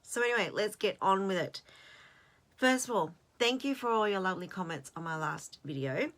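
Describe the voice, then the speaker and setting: A woman's voice: mid-range voice, lively intonation, normal speed, a bit bright. An Australian woman in her late fifties hosting her own channel in casual chat, rambling and self-deprecating, with fillers and restarts. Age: 50s. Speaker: host.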